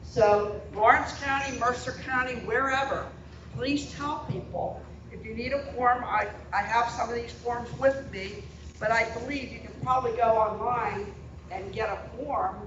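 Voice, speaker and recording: A woman's voice: fairly high pitch, steady tone, normal speed. Woman speaking plainly in a roomy hall. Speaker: local volunteer speaker. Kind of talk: informal talk to a meeting. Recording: distant, roomy, muffled and noisy hall recording.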